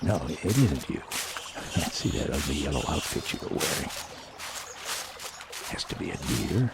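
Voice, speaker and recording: Man acting spooked deer hunter, wildly swinging pitch. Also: sing-song, normal speed, creaky, full character voice. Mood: muttering, impatient. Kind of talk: radio play acting. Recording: noisy sound-effect bed behind voice.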